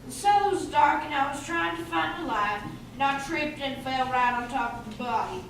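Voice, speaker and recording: A woman's voice: sits high, lively intonation, moderate pace. A woman playing a belligerent drunken man in an amateur radio play. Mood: boozy. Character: drunk, belligerent hothead man, whining and loud. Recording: very echoey room, distant mic, faint hiss.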